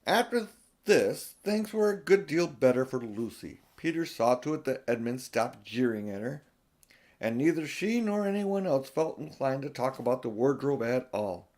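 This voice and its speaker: A man's voice: medium pitch, sing-song, normal speed, a little mellow. An older man reading a children's storybook aloud, with casual, chatty asides. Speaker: audiobook reader.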